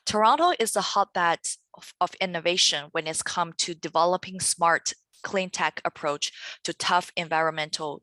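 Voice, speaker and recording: Bright, dry-sounding woman presenting. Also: fairly low pitch, dry recording. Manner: careful, halting delivery with um fillers and restarts. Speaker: trade presenter. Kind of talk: webinar presentation, read from slides.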